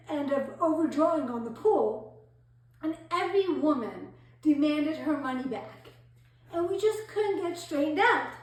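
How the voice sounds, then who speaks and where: A woman performing a theatrical monologue as a gullible, self-pitying society lady, affected, gushing and confiding. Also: high-pitched. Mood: aggrieved. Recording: very echoey room, distant mic.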